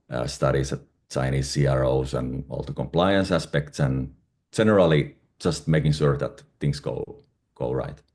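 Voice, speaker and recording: Very deep, mellow Finnish-accented man. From Finland. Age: middle-aged. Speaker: guest, independent pharmaceutical consultant. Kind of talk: conversational interview answers.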